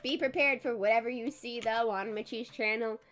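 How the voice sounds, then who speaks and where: A woman hosting a live stream in casual chat, congested and recovering her voice, chatty and quick to laugh. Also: on the high side, fairly even intonation, a bit bright, soft background music. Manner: chatty, laughs often, self-deprecating. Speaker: streamer host.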